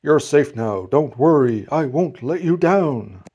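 A man voicing an earnest, gentle elephant in a storybook, protective and worried, with emphatic, pleading delivery. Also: high voice, sing-song, measured pace, breathy edge, lo-fi sound, voice pushed up, full character voice. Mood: amazed, reassuring.

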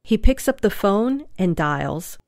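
A woman's voice: medium pitch, big pitch swings, slow, a little mellow, no room sound, studio quality. A woman narrating and announcing a radio play in a scripted style.

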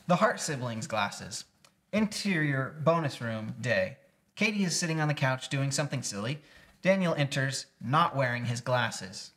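A man's voice: medium pitch, animated, moderate pace, fairly bright tone. A young adult man reading scene headings and descriptions aloud at a casual table read. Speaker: guest reader of scene descriptions. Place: small indoor room, seated at table.

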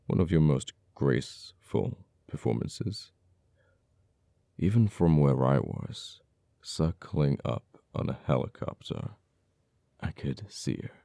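A heavily creaky, dark male voice , acted by a man, plays a cold, uptight official in an audio roleplay. He taunts a captured former friend slowly, with deliberate dramatic pauses. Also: extremely low pitch, dry recording, clean studio sound, heavily acted. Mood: cold, menacing.

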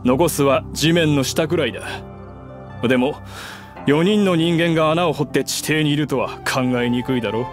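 A troubled mine foreman from an anime game, played by a man, pitched a little high. He talks plainly and casually, like a working man, with music clearly audible behind him. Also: moderate pace, lightly acted. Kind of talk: Japanese anime game voice acting.

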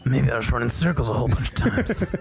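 A man playing a video game and riffing with a co-host, improvising silly gravelly character voices. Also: medium pitch, animated, fast talker, a little mellow, faint music behind. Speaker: game player. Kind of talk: comedic let's play banter.

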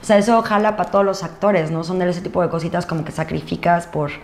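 Low-voiced Mexican woman chatting. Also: quick pace, darkish tone. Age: 30s. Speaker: interview guest, actress. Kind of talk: casual chat. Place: indoor studio set at a desk. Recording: roomy sound, noticeable background noise.

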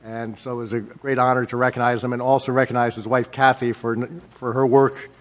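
A man in his sixties with an American accent gives measured mayoral remarks at a public meeting, pausing often as he works from notes. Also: mid-range voice, little pitch movement. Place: indoor council chamber. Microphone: gooseneck desk microphone.

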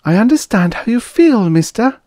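A man's voice: extremely high pitch, very animated, normal speed, mellow, no echo, clean studio sound, pitched above the performer's own voice. A man voicing a sympathetic, unhappy and guarded young waitress in a science fiction story. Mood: sympathetic, inquiring.

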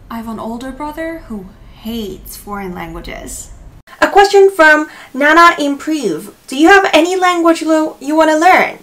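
A woman's voice: high voice, a bit bright. Korean-accented young woman, light voice. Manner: reads questions, then answers cheerfully. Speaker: language vlogger. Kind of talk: casual Q&A to camera. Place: small bright room indoors.

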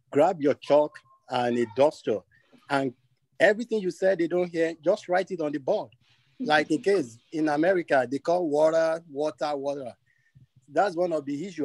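A Nigerian man with a Nigerian accent, a webinar panelist sharing friendly, lively advice over a video call. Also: fairly high pitch, no echo, poor audio.

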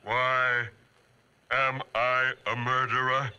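An actor playing a lonely, pleading giant monster in halting phrases, in the style of 1940s radio horror. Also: male voice, medium pitch, flat delivery, pushed and bright, clear, resonant tone, dull audio. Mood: pleading, insistent. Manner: slow, halting, deliberate phrasing.

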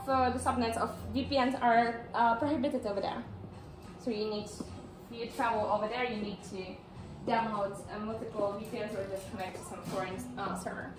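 Brief: young woman speaker, high voice, chatty with fillers, recorded from afar in a roomy hall. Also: steady tone, fairly quick, noisy background. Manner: chatty, laughs often, many fillers. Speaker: speaker at a meetup. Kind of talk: casual presentation talk. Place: large indoor room with projector screen. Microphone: far camera, no visible mic. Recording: echoey room audio from far off.

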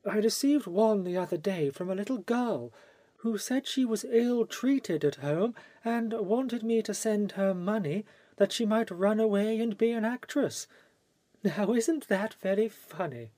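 A vivacious society lady of the stage, put on by a male audiobook reader, gushing and theatrical. The voice is lifted high and light, and taken at an unhurried pace. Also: animated, dark tone, dry recording. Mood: chatty, complaining.

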